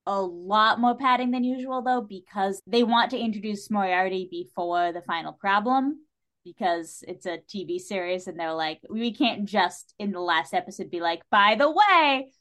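A woman in a casual podcast discussion, speaking at a medium pitch. She is opinionated and animated, with a wry edge to how she puts things. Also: normal speed. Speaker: podcast guest.

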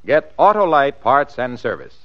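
An American man working as an announcer and sponsor pitchman in 1940s radio style. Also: very animated, moderate pace, loud music behind the voice. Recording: dull old radio broadcast recording.

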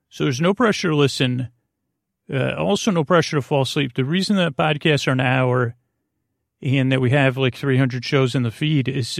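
A man with a creaky voice hosting a bedtime podcast in a meandering, halting, soothing monologue, often repeating himself and trailing off. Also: no room sound, studio quality. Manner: soothing, low-pressure. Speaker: podcast host. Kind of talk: rambling bedtime monologue to help listeners sleep.

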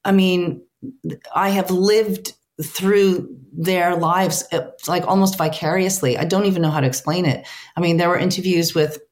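Low-voiced woman, earnest and searching. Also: fairly even intonation, moderate pace, a little mellow. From United States, Ukrainian family. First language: Ukrainian. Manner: earnest, searching for words, frequent restarts. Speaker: translator, interview guest. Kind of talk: reflective interview answers.

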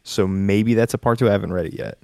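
A man co-hosting a podcast and chatting casually about stories in a flat, monotone way. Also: fairly low pitch, quick pace, creaky, no echo, clean studio sound. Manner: monotone, laid-back delivery. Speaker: podcast co-host and story narrator. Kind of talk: casual chat.

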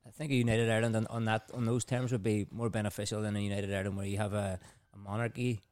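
A man's voice: on the low side, little pitch movement, fast talker, a little mellow, no room sound. A man chatting as a podcast guest, cracking jokes. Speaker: band member guest.

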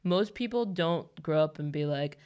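Low-voiced American woman, candid. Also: expressive, normal speed, darkish tone, clean tone. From United States. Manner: candid, playful, swearing freely. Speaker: podcast co-host. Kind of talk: casual chat.

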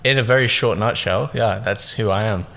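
Rambling young man, slightly low voice. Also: moderate pace, creaky, sharp tone. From born in Russia, raised in southeast London. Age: 20s. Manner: loose and rambling, many fillers and "like"s. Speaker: podcast guest, business owner. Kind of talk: casual interview chat.

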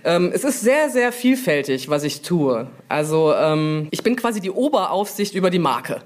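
A woman answering interview questions informally, explaining things with frequent pauses to think. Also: lowish voice, sing-song, a little mellow. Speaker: brand manager, interview guest.

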